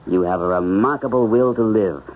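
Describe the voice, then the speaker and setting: An actor playing a principled, lovelorn surgeon, measured and formal, in 1970s radio drama style. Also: male voice, on the low side, a little hiss or hum, lightly acted. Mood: cool, professional. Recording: dull, band-limited radio drama recording.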